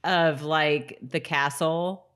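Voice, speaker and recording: A woman's voice: low voice, fairly even intonation, very slow, fairly bright tone, smooth. A woman co-hosting a podcast in casual conversation, easygoing and agreeable, often chiming in briefly. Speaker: podcast co-host. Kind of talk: casual rewatch podcast chat.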